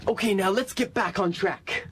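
A man's voice: sits high, moderate pace, a bit bright, faint music behind. A man playing a fervent revival preacher of money and consumerism, bombastic and exhorting in a parody sermon. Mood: rousing, zealous.

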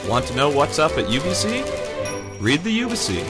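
A man's voice: on the high side, sing-song, moderate pace. A man reading a scripted radio promo as an announcer. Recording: loud music under the voice. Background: loud music bed.

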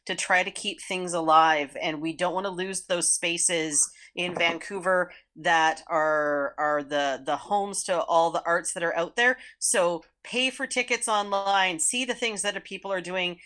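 Female interview guest, slightly low voice, earnest conversational delivery. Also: measured pace, a bit bright. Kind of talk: casual, earnest interview talk.

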